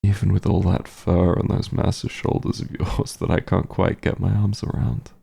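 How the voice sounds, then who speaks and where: A man playing a remorseful werewolf hunter in an intimate fantasy audio roleplay, speaking gently and haltingly with a husky, emotional voice. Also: low-pitched, little pitch movement, exaggerated voice. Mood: relieved, affectionate. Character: werewolf hunter, tender and remorseful, reunited with lost friend. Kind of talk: roleplay audio drama, intimate monologue to listener.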